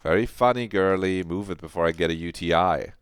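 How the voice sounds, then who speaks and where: A man's voice: low voice, brisk, smooth, no echo, natural voice. A man voicing a blunt, mocking teenage girl with a teasing manner.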